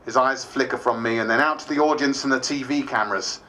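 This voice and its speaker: A man's voice: medium pitch, fast, bright, forceful tone, natural voice. A man playing a wry politician who narrates a diary in a theatrical stage style. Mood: tense recounting.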